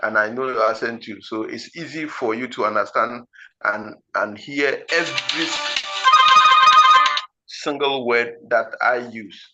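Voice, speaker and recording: A man with a Ghanaian accent, an English test teacher, explaining slowly and deliberately, pronouncing each word one after another with frequent fillers. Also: mid-range voice, over music, poor audio. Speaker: IELTS teacher.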